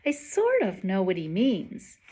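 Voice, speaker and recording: Unhurried, expressive woman reading aloud. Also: mid-range voice, breathy edge. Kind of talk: expressive children's novel read-aloud.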